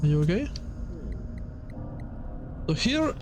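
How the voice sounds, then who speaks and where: A man's voice: sits high, very animated, takes it slowly, background noise. A man giving casual let's play commentary on a video game, muttering reactions and the odd curse as he goes. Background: game music.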